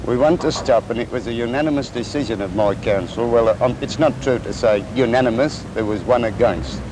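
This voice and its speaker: A man speaking as a union council president, giving deliberate, formal interview answers. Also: mid-range voice. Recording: noisy 1950s archive film sound.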